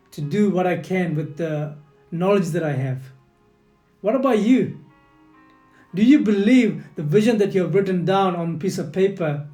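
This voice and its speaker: A man whose first language is not English, giving an earnest motivational leadership lesson and speaking straight to the listener with lots of repetition. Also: high-pitched. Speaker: leadership coach. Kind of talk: motivational teaching talk. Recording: echoey room with soft guitar music.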